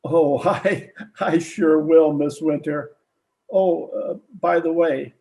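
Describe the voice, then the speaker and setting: A man's voice: a little high, steady tone, slow, gravelly, warm tone. A man playing a plain-spoken police detective sergeant in an old-time radio drama, with a hesitant, folksy, polite manner. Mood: admiring, bashful.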